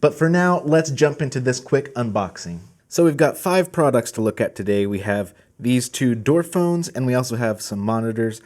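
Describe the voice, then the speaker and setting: A man's voice: medium pitch, expressive, moderate pace, hardly any pauses, a little mellow. A man hosting a product video, walking through gear in a casual presenting style. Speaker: host of a product channel.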